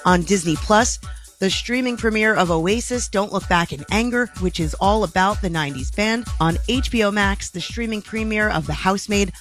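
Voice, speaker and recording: A woman co-host reads the weekend TV and streaming listings on a morning radio show. Her delivery is brisk and announcer-like, with faint music running underneath. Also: medium pitch, a bit bright.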